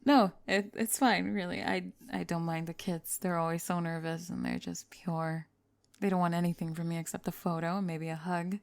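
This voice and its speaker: A woman plays a young female pop star in an intimate audio roleplay. Her voice sits fairly low with a crackly fry, recorded very close and dry. She confides candidly, a little nervous and worn by fame, yet kind and playful, often softening to a murmur. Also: steady tone, moderate pace, dry recording. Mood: weary, candid. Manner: confiding, hesitant, playful, trailing into soft murmurs. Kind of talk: intimate ASMR roleplay audio, one-sided date conversation.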